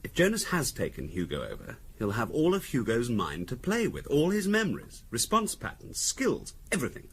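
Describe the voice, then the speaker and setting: An actor playing a calm, quietly insinuating middle-class husband in a 1970s radio drama, musing aloud in a deliberate, conversational way. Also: male voice, medium pitch, breathy edge, full character voice. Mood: musing, speculative. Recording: noisy 1970s radio recording.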